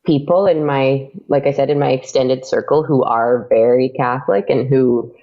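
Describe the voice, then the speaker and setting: Gravelly, chatty woman on a dull lo-fi line. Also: very deep voice, fairly even intonation, moderate pace. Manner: chatty, laughs often, many fillers. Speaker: podcast guest. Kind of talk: casual interview chat.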